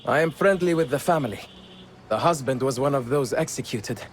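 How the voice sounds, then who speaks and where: An actor voicing a genteel, secretive tea house owner with a polished, slightly theatrical manner. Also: male voice, mid-range voice, fairly quick, a little hiss or hum, lightly acted.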